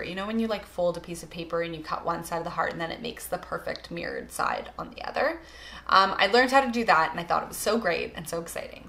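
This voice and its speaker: A young woman from Toronto with a Canadian accent talks casually to her viewers. Her voice sits a little low for a woman but sounds bright and forward. She is chatty and emphatic, letting phrase endings trail away. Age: young adult. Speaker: vlogger introducing herself. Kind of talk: casual chat to camera, get-to-know-me answers.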